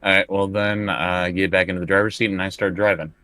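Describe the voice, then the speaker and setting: A man playing a tabletop role-playing game, talking casually and haltingly as he works out his moves. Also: sits low, flat delivery, bright, forceful tone, no echo. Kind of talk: casual improvised game play.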